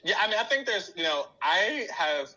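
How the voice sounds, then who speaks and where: A young adult guest with a very bright, forceful tone and a slightly raised pitch. The talk is animated and slangy, broken by frequent laughter. The audio is a little dull and lo-fi. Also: male voice, normal speed. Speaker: podcast guest. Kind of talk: casual conversational interview.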